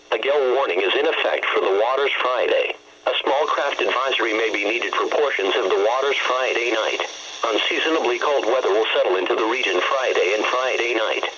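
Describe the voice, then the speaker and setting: Male weather radio announcer, formal and automated-sounding, husky edge, muffled broadcast sound. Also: medium pitch, bright, forceful tone. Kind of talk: scripted weather forecast bulletin. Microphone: radio broadcast feed.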